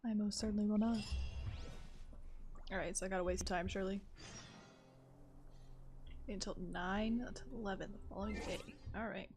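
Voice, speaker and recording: A woman's voice: mid-range voice, fairly even intonation, normal speed, pauses often, music in the background. A woman livestreaming a video game, casually talking to herself and reacting to the dialogue. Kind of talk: casual live game commentary.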